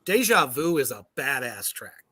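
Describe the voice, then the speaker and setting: A man's voice: medium pitch, very animated, a little slow, sharp tone. A man hosting a casual livestream chat with a band, loose and profane, laughing often. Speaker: podcast host.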